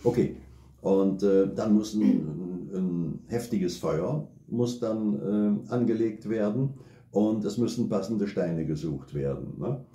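Older man, low dark voice, patient step-by-step explainer, recorded in an echoey living room. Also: takes it slowly. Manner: calm, step-by-step explaining with frequent tag "ne?". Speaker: bushcraft expert and father. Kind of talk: relaxed explanatory chat.

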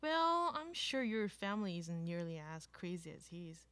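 A woman's voice: mid-range voice, sing-song, normal speed, a bit bright, clear, resonant tone. A young woman playing a chatty friend telling a holiday tale in scripted radio theater. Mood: chatty, storytelling.

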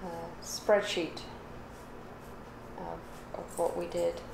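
A woman's voice: on the low side, flat delivery, very slow. An older woman chatting casually as a livestream guest, rambling thoughtfully and correcting herself as she goes. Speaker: guest, the host's mother. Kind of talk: casual chat on a craft livestream. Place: living room or home craft room. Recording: echoey room, clear background noise.

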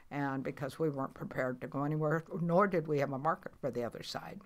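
Gravelly older woman telling fishing stories. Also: sits low. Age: 80s. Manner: rambling, matter-of-fact, many fillers and restarts. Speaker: guest, commercial fisher. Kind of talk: casual storytelling interview.

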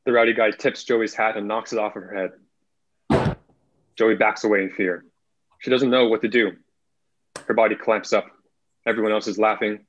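A man's voice: on the low side, steady tone, normal speed, long pauses. A young man narrating, reading out screenplay stage directions over a video call. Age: young adult. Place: indoor room, video call. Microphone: video call, computer mic. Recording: muffled video call audio.

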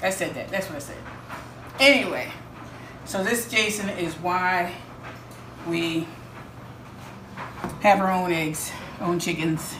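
A woman's voice: fairly low pitch, slow pace, pauses often. A middle-aged woman chatting to the camera in her home kitchen, playful and rambling, laughing often. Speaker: homesteading vlogger. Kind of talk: casual chat to camera. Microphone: camera a few feet away. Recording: echoey kitchen with clear noise.

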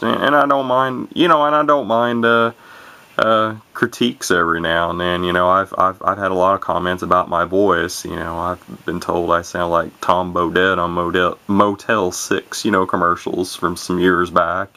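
A man rambling casually to camera in a flat, monotone way, with many fillers and restarts, and words run together. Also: moderate pace. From Illinois, USA. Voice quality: low gravelly voice, talks monotone. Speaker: YouTuber showing vintage radios. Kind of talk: casual unscripted talk to camera.

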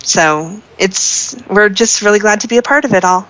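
A woman's voice: mid-range voice, normal speed, a bit bright, rough recording. A woman giving earnest, casual interview answers as a member of a charity team. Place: online voice chat in a virtual world.

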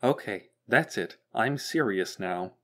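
A man's voice: lowish voice, expressive, slow pace, full character voice. Man voicing a sarcastic, menacing female machine overseer. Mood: harried threat. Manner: sharp, condescending, threatening.

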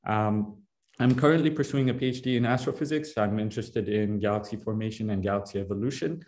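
A man's voice: lowish voice, fast talker, darkish tone. A young man from Ethiopia, a grad student, giving a friendly seminar talk over a video call with frequent fillers.

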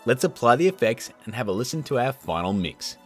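A man's voice: medium pitch, sing-song, brisk, faint music behind. A man in his thirties presenting a casual tutorial in an informal, jokey, chatty manner. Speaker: host of an effects tutorial channel.

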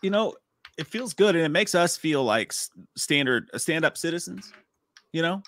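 A man's voice: fairly high pitch, animated, normal speed, fairly bright tone. A man in his thirties hosting a livestream, joking crudely and laughing often while reading viewer messages. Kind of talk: casual livestream chat. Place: home room with shelves. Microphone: desk mic.

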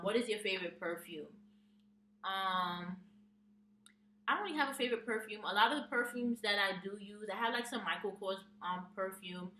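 A woman's voice: mid-range voice, sharp tone. Chatty young woman vlogging in an echoey room. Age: young adult. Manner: chatty, reads questions off her phone, many ums. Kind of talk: casual tag video chat. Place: small room with a plain wall, indoors. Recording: echoey room, distant mic, faint hum.

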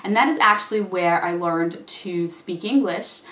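A woman's voice: fairly low pitch, measured pace, a bit bright. A young woman born in Russia, chatting casually to camera as a YouTuber and laughing often between words. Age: young adult. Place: small indoor room with patterned wall. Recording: echoey room.

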